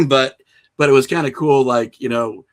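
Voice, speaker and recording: Man, mid-pitched voice, casual interview guest, informal anecdotal delivery, self-deprecating. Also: unhurried, fairly bright tone. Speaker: podcast guest, indie filmmaker.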